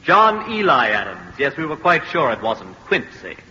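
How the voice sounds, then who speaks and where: A man's voice: mid-range voice, big pitch swings, moderate pace, bright, forceful tone. An actor playing a condescending, sarcastic meeting chairman in an old-time radio drama. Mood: mocking, condescending. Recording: reverberant hall, dull noisy radio recording.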